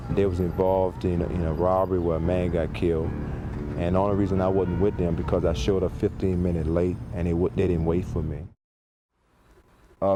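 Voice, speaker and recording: A man's voice: low voice, background noise. A man giving reflective personal testimony, hesitating and repeating words as he goes. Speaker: former prisoner giving testimony.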